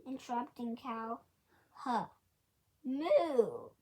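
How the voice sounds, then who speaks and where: A woman voicing an excitable, joke-loving female cow in a children's storybook, in an eager, exclaiming manner. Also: a little high, very slow, full character voice. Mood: puzzled, playful.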